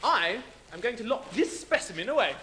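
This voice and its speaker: Pompous bossy dog acted by a woman, hugely swooping pitch, exasperated, pantomime stage acting, faint noise. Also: very animated, brisk, sharp tone. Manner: pompous, interrogating, emphatic. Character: exasperated, bossy pet dog who demands logical order.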